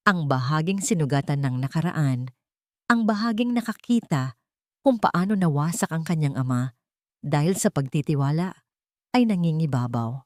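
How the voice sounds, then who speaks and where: A woman's voice: sits low, a little mellow, no room sound, clean studio sound. A woman narrating a scripted romantic drama as a storyteller.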